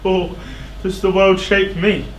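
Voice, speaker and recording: A man playing a high-voiced, wrathful proud king. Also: little pitch movement, slow pace. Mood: anguished, defiant. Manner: imperious, declamatory. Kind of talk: stage tragedy acting. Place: indoor theatre stage. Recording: echoey theatre stage with loud noise.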